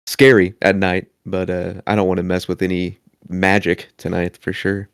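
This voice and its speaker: Lowish voice guy, dry teasing, mic real dead no room. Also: monotone, moderate pace, smooth, no echo. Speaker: player. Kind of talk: casual tabletop banter.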